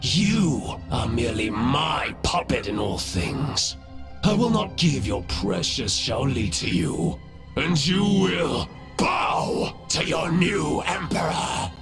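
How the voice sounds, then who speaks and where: An actor voicing a gloating, megalomaniac villain in a video game cutscene, with a grandiose, theatrical manner. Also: male voice, fairly high pitch, big pitch swings, takes it slowly, full character voice. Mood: mocking, imperious. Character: gloating megalomaniac male villain who scorns mortals. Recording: loud music over the voice.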